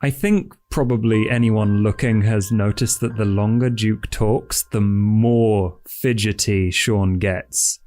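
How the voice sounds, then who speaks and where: A man's voice: fairly low pitch, steady tone, takes it slowly, hardly any pauses, darkish tone. A man playing a tabletop game, describing his character's actions in hesitant, pieced-together phrases. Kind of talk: narrating own character's actions. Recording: music bed under the voice.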